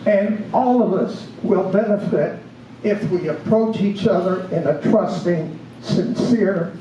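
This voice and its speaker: An older man with a high voice addresses a community meeting in slow, measured, earnest phrases. He is picked up from far off in a large meeting room, and the sound rings with heavy echo. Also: warm tone. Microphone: room mic from a distance. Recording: echoey room, distant mic, faint hiss.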